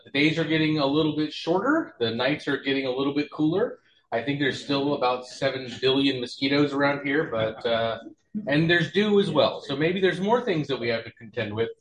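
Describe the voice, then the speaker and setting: A man opens a club meeting and welcomes everyone in a friendly, chatty way. His voice sits at an ordinary middle pitch. The room gives it a roomy echo. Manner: friendly, chatty, mild hesitations.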